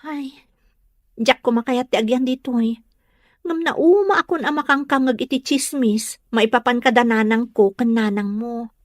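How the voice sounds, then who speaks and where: A woman's voice: a little high, moderate pace, dry recording, clean studio sound, natural voice. A woman playing an earnest, stubborn young village woman, hurt by gossip, in an audio drama. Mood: sad, grateful. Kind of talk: Ilocano audio drama acting.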